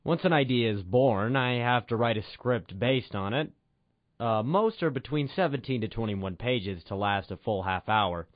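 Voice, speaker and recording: A man's voice: mid-range voice, lively intonation, moderate pace, dry recording. A man, a writer and director, hosting with scripted old-time radio narration. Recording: dull lo-fi radio sound, music underneath. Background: music.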